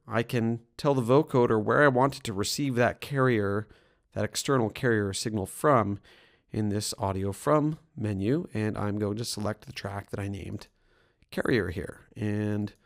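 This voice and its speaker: A man presenting a software tutorial as a music production trainer, explaining things in an informal way. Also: fairly low pitch.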